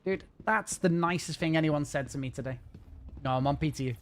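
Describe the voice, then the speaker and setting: A man chatting casually and rambling while live-streaming a game from home. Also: fairly high pitch. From north of England. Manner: chatty, rambling, fast-talking. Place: home gaming setup.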